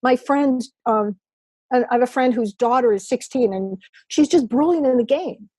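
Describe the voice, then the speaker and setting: A woman's voice: on the high side, moderate pace, a little mellow, breathy edge, dry recording. Chatty New woman in her sixties. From New York. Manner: chatty, rambling, laughs often. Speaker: podcast guest, poker writer. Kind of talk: casual remote interview chat.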